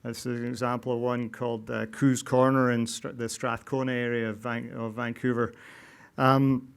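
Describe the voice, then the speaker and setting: Middle-aged Scottish man, smooth clear voice. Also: medium pitch, level tone. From Scotland, Glasgow. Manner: halting, with many fillers and restarts. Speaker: non-profit housing developer. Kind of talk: public talk to an audience. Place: event venue before an audience.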